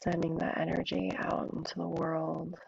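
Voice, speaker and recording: A woman teaching a yin yoga class, hoarse and froggy from an allergy attack, giving slow, soothing cues. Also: on the low side, little pitch movement, highs cut off. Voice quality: gravelly, froggy voice. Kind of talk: guided yoga instruction.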